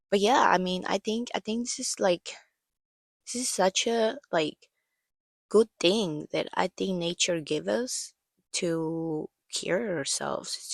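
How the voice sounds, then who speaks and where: Woman in her 30s rambling thru her own story, lots of um and like, kinda lowish gravelly voice, losing it a bit from allergies. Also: dry recording. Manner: rambling, hesitant, many fillers and restarts. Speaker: podcast host. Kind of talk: personal storytelling monologue.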